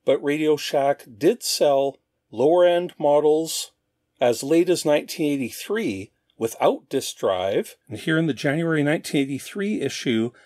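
A middle-aged man giving an informal show-and-tell narration as a host, explaining things in a measured way. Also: fairly high pitch, lively intonation, a little mellow, no echo, studio quality. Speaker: host of a retro computing show.

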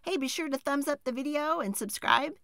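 A woman reading a children's picture book aloud, voicing the first-person narration with animated expression, soft laughs and sighs. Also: high voice, fairly quick, dry recording, studio quality. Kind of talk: expressive read-aloud storytelling.

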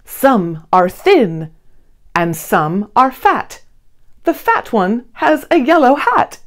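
A woman gives a theatrical read-aloud of a children's picture book. Her pitch swings widely from phrase to phrase, and she takes her time, shaping each rhyme deliberately. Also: very animated. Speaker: children's storybook reader. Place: small indoor studio with blue backdrop.

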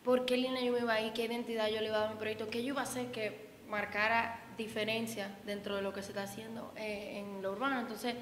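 A woman's voice: fairly high pitch, fairly bright tone. A young woman singer from the Dominican Republic with a Dominican accent, chatting casually in an interview, full of fillers and restarts. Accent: Dominican Spanish. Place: indoor event room with reverb. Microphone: handheld mic held by interviewer. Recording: echoey room with faint noise.

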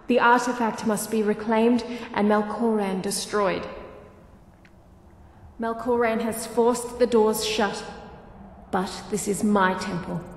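A woman's voice: mid-range voice, a little hiss or hum. A woman voicing an imperious, stately goddess in a fantasy game, speaking formally and solemnly. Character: imperious female goddess, stately, wrathful, commanding. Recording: echoey hall-like reverb.

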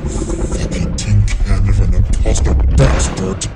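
A man voicing a gruff giant reptilian monster king with a gravelly, drawn-out delivery in a cartoon comic dub. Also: fairly low pitch, level tone. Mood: irritated, stunned. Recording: loud music behind the voice.

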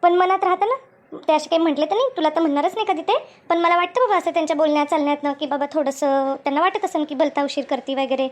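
A woman voicing a bossy, sly elder sister-in-law in a cartoon family drama, brisk and repetitive as she instructs. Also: sits high, close to an everyday voice. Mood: bossy, coaxing. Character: bossy, two-faced elder sister-in-law running the kitchen.

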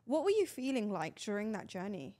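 A woman's voice: medium pitch, big pitch swings, a little fast, dark tone. A woman hosting a casual interview, chatting with the guest and asking probing follow-up questions. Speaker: host.

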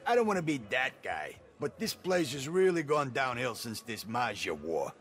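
Disappointed male bar regular, grumbling, hugely expressive pitch, music behind, video game. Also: normal speed. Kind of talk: casual video game dialogue.

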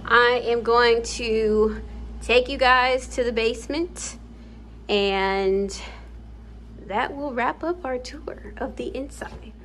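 A woman's voice: on the high side, fairly bright tone, a little hiss or hum. A young woman casually chatting as she walks through an empty house, with frequent ums, filmed on a phone. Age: young adult. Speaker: home vlogger. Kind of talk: casual house tour vlog. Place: empty house, walking room to room. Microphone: phone camera held while walking.

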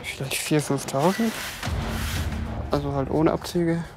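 A young man of twenty-one answers in short, indifferent bursts. His voice sits at a middle pitch. Loud music nearly swamps him. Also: brisk. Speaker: interviewee.

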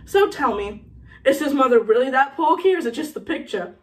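A woman's voice: high voice, expressive, far from the mic. A woman voicing a spiteful teenage schoolboy bully from a storybook, taunting with a mocking sneer. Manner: taunting, drawn-out mockery.

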